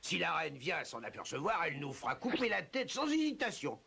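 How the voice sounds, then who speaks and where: A man voicing a nervous, hapless royal servant with a gravelly rasp and an anxious, pleading manner in a French cartoon. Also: fairly high pitch, huge pitch swings, fairly quick, pushed and bright, exaggerated voice. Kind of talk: French cartoon dub acting.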